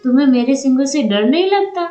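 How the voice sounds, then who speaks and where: A woman's voice: high voice, expressive, fairly quick, mellow, faint music behind. A woman voicing a timid, friendly little girl in a Hindi moral story cartoon, childlike, in a dull, echoey recording. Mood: curious.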